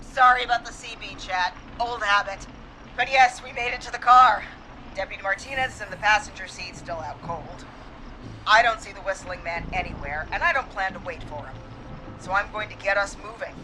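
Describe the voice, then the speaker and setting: A woman's voice: mid-range voice, normal speed, sharp tone, slight background noise, lightly acted, poor audio. An actress playing a brave but frightened small-town woman dispatcher on the run in a video game. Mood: anxious.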